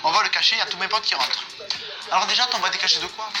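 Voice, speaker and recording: A man's voice: high-pitched, rapid-fire, low-quality recording. A young man hosting comedic commentary on a music video in a slangy, teasing, mock-analytical manner. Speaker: host.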